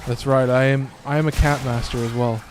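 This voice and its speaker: A man chatting loosely through a game stream in an ordinary middle-pitched voice, a little hoarse and raspy. He swears freely and keeps up easy, rambling talk. A faint hiss of noise sits under the voice. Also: steady tone, fairly quick. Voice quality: slightly raspy. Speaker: gaming streamer. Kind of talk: casual gameplay commentary.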